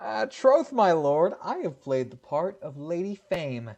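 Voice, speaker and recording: Unhurried, cocky gentleman wit acted by a woman. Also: on the low side, sing-song. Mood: aggrieved. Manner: hesitant, theatrical, bantering. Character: cocky, wounded-pride gentleman wit and bachelor soldier. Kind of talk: Shakespearean radio play acting.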